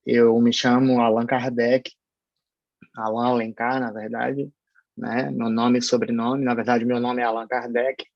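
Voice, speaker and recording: A lecturer from Paraíba with a Northeastern Brazilian accent, giving an online university class in a hesitant, explanatory way with many fillers and restarts. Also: male voice, mid-range voice, flat delivery, a little slow, vocal fry, dry recording, lo-fi sound. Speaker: pharmacology lecturer.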